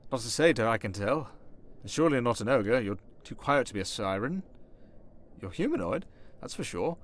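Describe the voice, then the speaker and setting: A man playing a smooth-talking, sarcastic vampire noble, chatting idly and vainly with a fellow prisoner in an ASMR roleplay. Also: mid-range voice, natural voice.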